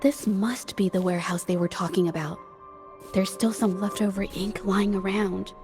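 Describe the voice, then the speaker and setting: A woman voicing an earnest, thoughtful young woman in a video game cutscene, soft-spoken and hesitant. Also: mid-range voice, steady tone, moderate pace, over music, natural voice. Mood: somber.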